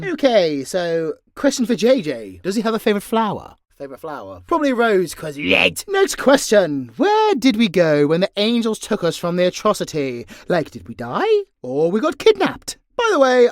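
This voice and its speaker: A man's voice: on the high side, dry recording, studio quality. A British man answering fan questions in a casual, unscripted, sweary and jokey way, as a voice actor and audio creator. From Britain.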